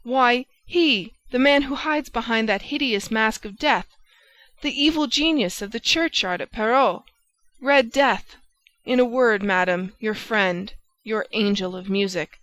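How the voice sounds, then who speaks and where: A woman's voice: fairly high pitch, unhurried, fairly bright tone, rough recording. A woman reading the part of an impassioned, jealous young man who hurls angry accusations. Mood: jealous fury.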